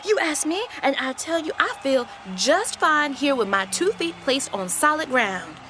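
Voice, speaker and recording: Woman acting a high-voiced gossipy churchgoer. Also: animated, measured pace, pushed and bright, slight background noise, heavily acted. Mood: gossipy, scolding. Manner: sassy, opinionated, chatty. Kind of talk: stage-play dialogue for radio.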